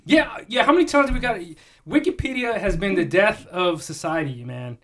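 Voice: mid-range voice, animated, normal speed, a little hiss or hum. A podcast host bantering casually in an irreverent, joking, profane way.

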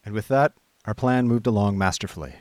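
An actor playing an idle, chummy young upper-class gentleman, narrating a radio drama in breezy, wordy old-fashioned slang. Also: on the low side, fairly quick, natural voice. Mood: chatty. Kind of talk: scripted first-person radio drama narration. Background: music.

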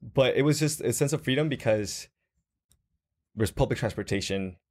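A man's voice: mid-range voice, normal speed, darkish tone. A young man from Guatemala talking casually in a group conversation, reflective, with many fillers and restarts.

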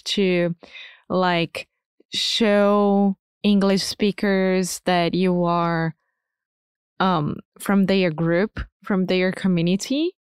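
A woman's voice: medium pitch, clean tone, dry recording, studio quality. A woman from Rio de Janeiro with a Brazilian Portuguese accent, a native Portuguese speaker co-hosting a podcast in an emphatic, upbeat way. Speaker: podcast co-host. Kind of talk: casual educational podcast chat.

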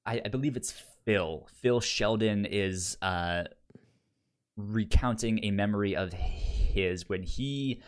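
A man co-hosting a podcast in casual discussion, speaking haltingly with stammers and repeated word starts. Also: lowish voice, smooth. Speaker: podcast co-host reviewing an audio drama.

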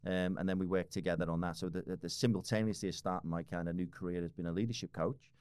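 A man in his forties with a Liverpool accent talks in a very low voice at a quick clip. He rambles through a casual podcast chat, restarting his sentences, filling the gaps and swearing offhandedly. The sound is dry and close. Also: little pitch movement, darkish tone, clear, resonant tone, no echo. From Liverpool, England. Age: 40s. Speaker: podcast guest.

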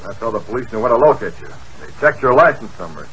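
A man's voice: mid-range voice, animated, fast, fairly bright tone, slight background noise, low-quality recording. A man playing a masked crime-fighter posing as a racketeer in a 1940s radio play, speaking in a curt, commanding manner. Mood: menacing.

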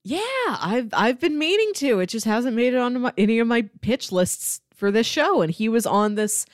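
A woman co-hosting a podcast in casual film chat, animated and given to acting out imagined dialogue. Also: a little high, normal speed, dry recording, studio quality. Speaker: podcast co-host.

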